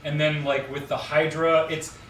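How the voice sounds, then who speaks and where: A man's voice: a little high, flat delivery, normal speed, a bit bright. Man in a roomy, noisy space. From Louisiana, USA. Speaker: guest on a charity livestream. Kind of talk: casual chat. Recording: roomy, distant mic with clear background noise.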